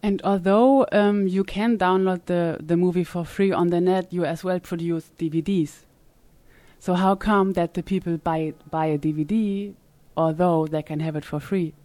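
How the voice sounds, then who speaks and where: A woman hosts an interview in a lowish voice, pausing often on "uh" and "um" between phrases. Her voice sounds close and dry. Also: steady tone, dark tone, dry recording. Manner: hesitant, frequent fillers. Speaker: podcast host. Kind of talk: conversational interview. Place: conference summit venue.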